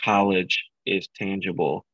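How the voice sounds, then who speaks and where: A bilingual man speaking as an interview guest, earnest and halting, with frequent restarts. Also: low voice, little pitch movement, fairly bright tone, breathy edge, no echo, muffled recording. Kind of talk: casual interview.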